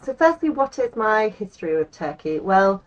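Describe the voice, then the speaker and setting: A woman's voice: medium pitch, sing-song, normal speed, lo-fi sound. A woman in her thirties with an English accent, chatting to camera as the host of her own channel, rambling a little with frequent ums. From England. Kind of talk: casual talk to camera.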